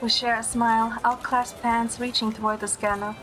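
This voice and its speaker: First-person narrator: gentle young heroine, female actor, higher register, scripted romance storytelling with faint music. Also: level tone, moderate pace, lightly acted, rough recording. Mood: gentle, narrating.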